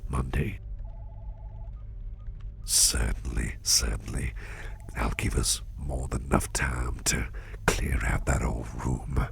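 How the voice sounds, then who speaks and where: A man playing a kindly businessman boss in a soft ASMR roleplay, gentle, doting and reassuring in a breathy half-whisper. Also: a bass voice, a little hiss or hum, exaggerated voice. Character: kindly, reassuring businessman boss looking after a valued employee.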